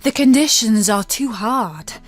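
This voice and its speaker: A woman's voice: fairly high pitch, lively intonation, measured pace, faint music behind. A woman voicing grave young enchanted brothers in a storybook, solemn and emphatic as they speak. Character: grave enchanted young brothers warning their little sister. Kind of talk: storybook character voice.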